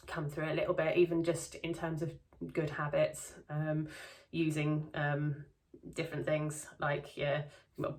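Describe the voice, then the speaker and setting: Woman vlogger, slightly low voice, soft lisp, rambling and chatty with lots of ums. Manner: chatty, many ums and restarts. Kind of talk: casual diary vlog. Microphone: camera close-up.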